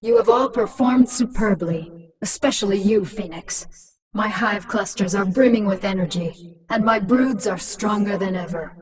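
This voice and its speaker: A woman's voice: medium pitch, normal speed, natural voice. Lady as evil-ish hive queen in a game, composed n bossy, bit far off with din behind. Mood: pleased. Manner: imperious, composed. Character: commanding, calculating alien hive queen, coolly mocking. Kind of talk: dramatic video game acting. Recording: distant voice, background crowd-like din.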